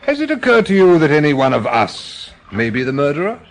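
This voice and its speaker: Pompous, excitable theorizing gentleman, swooping delivery. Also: a little high, brisk. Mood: eager, theorizing. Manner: pompous, theatrical, insistent. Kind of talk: radio drama acting.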